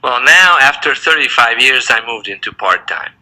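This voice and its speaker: A man's voice: mid-range voice, animated, normal speed, bright, forceful tone, poor audio. A man in his sixties who grew up in Hungary, answering interview questions in a thoughtful, hesitant way with many fillers and restarts. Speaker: interviewee, semi-retired dentist.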